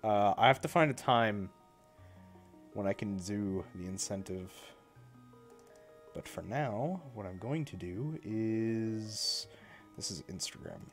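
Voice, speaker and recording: A man's voice: lowish voice, lively intonation, takes it slowly, pauses often, soft background music. A young man chatting casually on a live stream, a bit congested from a cold, rambling and correcting himself as he goes.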